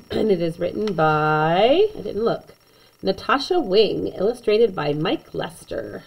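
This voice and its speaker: A woman reading a children's picture book aloud in an expressive way, with chatty asides to young listeners. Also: medium pitch, gravelly, husky, low-quality recording. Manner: animated, slow and deliberate storytelling, chatting to young listeners.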